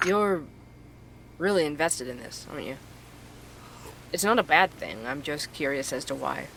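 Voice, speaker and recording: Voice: medium pitch, expressive, moderate pace, gravelly, a bit bright, slight background noise, rough recording. An actor playing a tired, earnest investigative journalist who hosts a podcast, in naturalistic audio drama. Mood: gentle, concerned.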